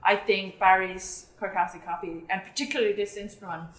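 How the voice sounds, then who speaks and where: This is a young woman, born in South Korea and raised in England, who talks earnestly about playing bass in an orchestra. Her voice sits at a middle pitch, and she speaks with easy pauses and fillers. The room around her has a clear echo. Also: fairly even intonation. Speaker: orchestral bassist. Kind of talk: interview talk. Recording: roomy echo.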